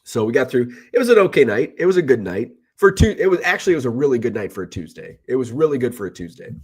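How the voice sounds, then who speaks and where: A man's voice: mid-range voice, very animated, fast, darkish tone, breathy edge. A middle-aged man who sells baseball cards, talking casually in a rambling, chatty way while sick with a cold. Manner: rambling, self-correcting, chatty. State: sick with a cold, slightly rough voice. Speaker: card seller and live streamer. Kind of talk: casual vlog chat.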